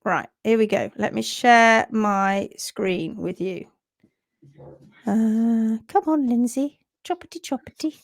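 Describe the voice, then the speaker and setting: A woman hosting a livestream about horse hoof care, chatty and teaching. She has a sore throat and a croaky voice, and she mutters to herself while she fumbles with her screen. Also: on the high side, slow pace, no echo, clean studio sound. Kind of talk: casual livestream talk and teaching. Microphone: computer mic on a video call.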